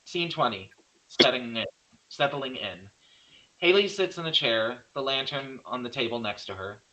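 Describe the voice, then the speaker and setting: A man serves as narrator for a horror screenplay read. His mid-pitched voice is bright and crisp-edged as he reads scripted scene directions. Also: expressive, normal speed, low-quality recording. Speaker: narrator reading stage directions.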